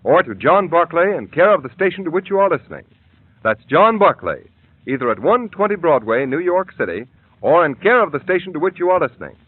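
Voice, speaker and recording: A man announcing on an old radio program, crisp and emphatic. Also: fairly high pitch, big pitch swings, brisk, no room sound. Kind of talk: scripted announcing. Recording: tinny old radio broadcast with music.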